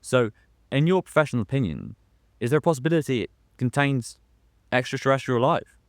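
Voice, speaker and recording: Young man acting a halting radio news presenter. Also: medium pitch, expressive, no echo, studio quality, close to an everyday voice. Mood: composed, formal. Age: young adult. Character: polite radio news presenter turning nervous and flustered. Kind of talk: modern radio drama acting.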